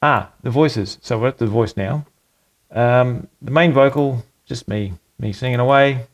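Middle-aged male creator, home studio desk, easygoing and rambling with lots of ums, everyday mid-pitched voice. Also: measured pace. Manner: relaxed and rambling, with fillers and self-corrections. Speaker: home music producer and channel host. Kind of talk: casual walkthrough of a home recording. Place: small home studio room at a computer desk.

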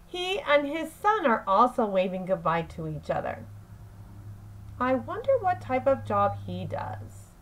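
A woman teacher reading aloud to young children in a deliberate, phrase-by-phrase way, as in an expressive picture-book lesson. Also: on the high side, low-quality recording.

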